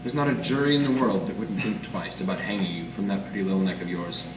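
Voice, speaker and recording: Man acting low, cynical noir detective, echoey. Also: fairly even intonation, fast, close to an everyday voice. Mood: accusing, bitter. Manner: wry, clipped hard-boiled slang. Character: cynical, world-weary small-time noir detective man. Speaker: amateur stage radio-drama actor. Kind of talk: 1940s radio noir acting. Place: live performance in an art gallery. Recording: distant mic in echoey noisy room.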